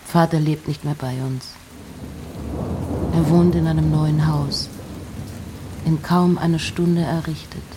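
Low-voiced woman, slow literary reading. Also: steady tone, background noise. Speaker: reciter of poems and letters. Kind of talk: literary reading of poetry and letters.

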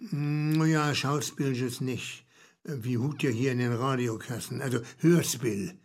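An actor playing a grandiose, plaintive poet in a comic radio play, with a northern Low German accent. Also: male voice, a little slow. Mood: eager, bossy. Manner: grandiose, theatrical. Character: pompous, plaintive male poet lamenting the decline of culture. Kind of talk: comic radio play dialogue with declaimed verse.

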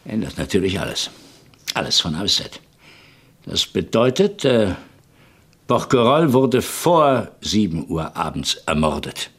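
An actor playing a shrewd police commissioner in a German radio crime play, deliberate and musing, then pointed in his questions. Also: sits low, sing-song, slight background noise. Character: shrewd male French police commissioner, boss of the investigation.

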